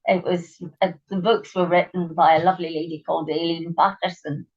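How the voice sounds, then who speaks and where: A middle-aged woman with a croaky, gravelly voice, a guest in a relaxed interview who pauses with ums as she thinks. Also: fairly low pitch. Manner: thoughtful, trails off with ums. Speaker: interview guest, writer and actress. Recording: dull lo-fi remote audio.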